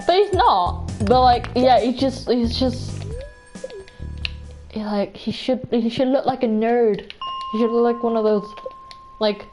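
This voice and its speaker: Young woman streamer, relaxed rambling chat over game music, slightly high voice. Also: a little slow, pauses often. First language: Welsh. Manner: rambling, full of "like" and restarts, giggly. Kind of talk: casual chat while playing a game.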